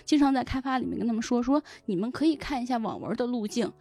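High-pitched woman explaining in casual discussion. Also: expressive, quick pace, warm tone. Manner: explanatory, illustrates points with examples and imagined reader voices. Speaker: guest, web fiction editor.

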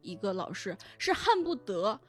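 A woman's voice: mid-range voice, very animated, normal speed, a bit bright, soft background music. A woman in a casual podcast chat, explaining things with frequent small affirmations. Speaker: podcast panelist discussing adaptations of novels.

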